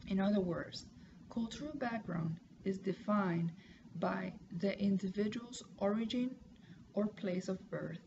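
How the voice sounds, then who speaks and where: Woman in her 30s, reads her class paper slow and careful, bit of fry, dull noisy home recording. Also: mid-range voice. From Puerto Rico. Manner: careful, measured reading with pauses. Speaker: student. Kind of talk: reading a class assignment aloud.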